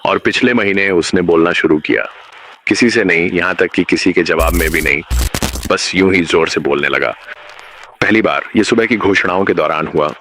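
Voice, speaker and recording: A man narrating a scripted horror story in a measured, ominous manner. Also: deep voice, fairly bright tone, slight background noise.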